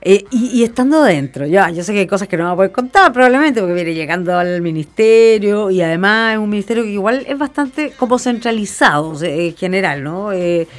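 A woman's voice: mid-range voice, lively intonation, a little fast, few pauses. A woman with a Chilean accent hosting a radio interview in a chatty, colloquial, conversational way. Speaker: radio programme host.

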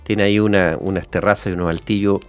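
Low-voiced Chilean man hosting an interview. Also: fairly even intonation, soft background music. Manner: halting, repeats words, checks in with short tags. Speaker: interview show host, a doctor. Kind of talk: conversational interview.

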